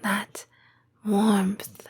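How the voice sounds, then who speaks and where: Lamia girlfriend, female actress, ASMR roleplay: tender and lonely, hushed breathy whisper, drawn-out hesitant pacing. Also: mid-range voice, monotone, full character voice. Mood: wistful, hurt. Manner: slow, hesitant, intimate delivery with long pauses. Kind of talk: soft-spoken ASMR roleplay.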